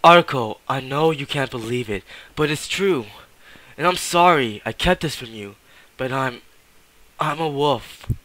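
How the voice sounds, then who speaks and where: A man's voice: mid-range voice, lively intonation, a little slow, pauses often, fairly bright tone, no room sound, poor audio. A man playing a troubled, apologetic young person in a subdued, hesitant audition read. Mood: quiet, regretful. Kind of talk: voice acting audition.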